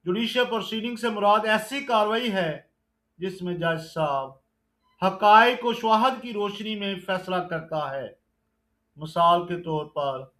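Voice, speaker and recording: A man with a Pakistani accent giving a scripted exam lesson in a formal, measured, deliberate manner. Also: high voice, a bit bright, rough recording. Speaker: law exam lecturer. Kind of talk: scripted lesson narration, reading quiz questions and explaining answers.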